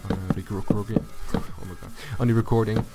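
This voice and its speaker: A man with a low voice giving a casual, unscripted gaming tutorial, thinking aloud as he goes. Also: fairly even intonation, a little fast, heavy background noise. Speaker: video tutorial host. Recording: music behind the voice. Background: loud music.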